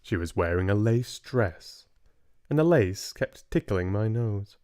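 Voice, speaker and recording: Slow, expressive young man reading aloud. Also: on the low side. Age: 20s. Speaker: reader and book club host. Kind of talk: expressive audiobook-style children's story reading. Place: small home room, indoors.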